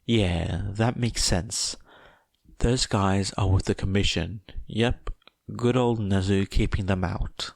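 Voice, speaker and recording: A man voicing an excitable male teacher in a story, informal and eager to placate. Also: fairly low pitch, takes it slowly, vocal fry, no echo. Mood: reassuring.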